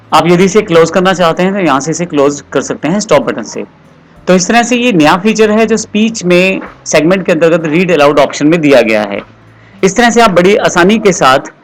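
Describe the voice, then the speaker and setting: A man narrating a step-by-step software tutorial in an explanatory, instructional way, repeating his instructions. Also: a little high, low-quality recording.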